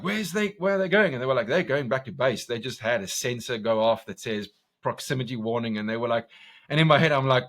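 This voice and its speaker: A man from South Africa with an Afrikaans accent, a commercial director talking passionately in a podcast interview, loud and full of restarts. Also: medium pitch. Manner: intense, passionate, talks loud, many restarts.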